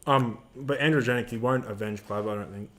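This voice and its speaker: A man's voice: mid-range voice, fairly quick, fairly bright tone. A young man co-hosting a live Q&A stream, chatting in a laid-back, slangy way. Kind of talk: casual live-stream chat.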